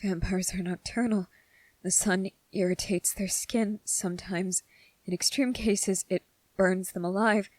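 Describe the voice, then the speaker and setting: A woman voicing a gentle, earnest young male soldier in a soft-spoken, halting ASMR roleplay. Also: medium pitch, fairly even intonation, close to an everyday voice. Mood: nervous, hushed. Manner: hesitant, halting, repeating phrases, earnest.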